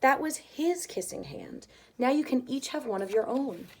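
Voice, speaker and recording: A woman voicing a tender mother raccoon in a storybook, speaking in a gentle, motherly and reassuring way. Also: high voice, animated, normal speed, breathy edge, heavily acted.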